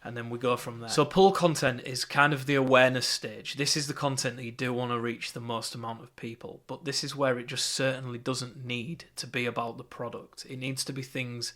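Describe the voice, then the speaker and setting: A man's voice: mid-range voice, fairly even intonation, brisk, hardly any pauses, fairly bright tone. A young man with a Yorkshire accent, teaching an online marketing course in a conversational, upbeat way with fillers and restarts. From England.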